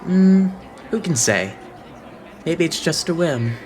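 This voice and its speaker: A man's voice: a little high, big pitch swings, slow pace, a little mellow, slight background noise. A man voicing a suave, slyly playful young idol leader in an anime fandub, composed and teasing.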